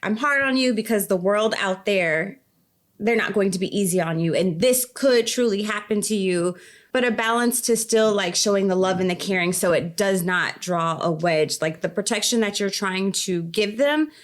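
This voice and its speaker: A woman's voice: medium pitch, moderate pace. A woman hosting a podcast, interviewing a guest in a conversational way.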